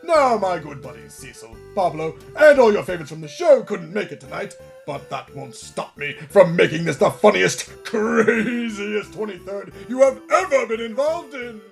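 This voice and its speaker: A man playing a washed-up older children's TV entertainer in a radio play, contrite and theatrical, with a creaky voice. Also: high-pitched, very animated, soft background music, full character voice. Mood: exuberant showman. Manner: dramatic, halting pleading and grand showman delivery.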